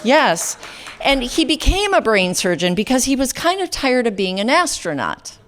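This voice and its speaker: A woman's voice: a little high, big pitch swings, normal speed, a bit bright, a little hiss or hum. A woman emceeing on an event hall stage, giving a playful mock-serious introduction that piles up one fake career after another.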